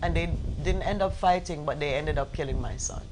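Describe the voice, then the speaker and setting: A woman in her forties recounting a personal story in conversation, halting and repeating words for emphasis. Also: sits low, background noise. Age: 40s. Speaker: interview guest, bereaved mother.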